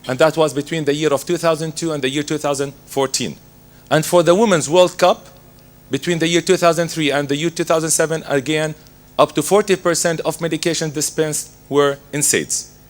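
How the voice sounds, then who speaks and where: A man with laryngitis, hoarse, giving a methodical medical lecture as a pharmacist, working through his slides. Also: on the high side, steady tone, a little fast.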